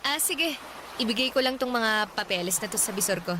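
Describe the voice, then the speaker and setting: A woman's voice: a little high, lively intonation, a little fast, natural voice. An actress playing a shy, grateful office newcomer in her early twenties in a radio drama, with a bashful, appreciative manner. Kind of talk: naturalistic radio drama acting. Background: music.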